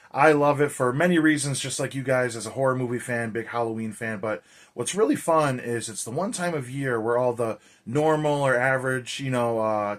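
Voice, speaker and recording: A man talks in a casual vlog about horror films, his voice hoarse and raspy. He is energetic and chatty, rolling along with plenty of "uh" and "you know." His pitch sits in an ordinary middle range. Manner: energetic, chatty, loose with fillers. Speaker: horror movie YouTuber.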